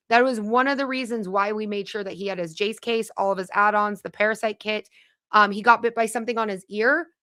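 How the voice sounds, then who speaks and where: A woman's voice: mid-range voice, fairly bright tone, smooth, dry recording. A woman hosting a casual live stream from her home, chatting and reading viewer comments aloud. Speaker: livestream host.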